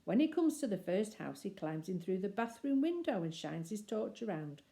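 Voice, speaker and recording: A woman reading a children's story aloud expressively, with deliberate phrasing and emphatic pauses. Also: mid-range voice, big pitch swings, mellow.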